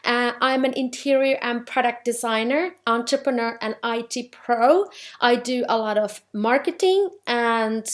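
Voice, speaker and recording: A woman in her thirties from Finland with a Finnish accent, presenting a business workshop in a clear, steady, explanatory way. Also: a little high, a little slow.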